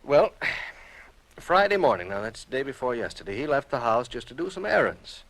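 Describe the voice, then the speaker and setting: A genial, easygoing American insurance man in an old radio drama. He talks in a folksy, rambling, hesitant way, with very lively pitch. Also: a little fast, rough recording. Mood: reluctant, uneasy. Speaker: radio actor. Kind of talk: golden-age radio drama dialogue.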